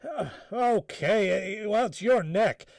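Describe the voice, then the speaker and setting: A man's voice: sits high, expressive, takes it slowly, vocal fry, a little mellow, no room sound, studio quality, exaggerated voice. A man playing a flustered, reluctant government undersecretary in a radio drama. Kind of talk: live radio drama acting.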